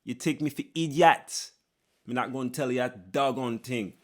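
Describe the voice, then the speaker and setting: A man voicing a brash, suspicious man with a put-on Jamaican accent, loud and defiant in clipped broken grammar. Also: mid-range voice, moderate pace, voice pushed up, natural voice.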